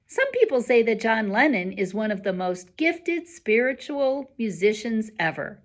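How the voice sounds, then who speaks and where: A woman's voice: on the high side, very animated, unhurried. A woman voicing an encouraging, earnest male schoolteacher from a storybook, addressing a class with emphatic rhetorical questions. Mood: earnest, rousing.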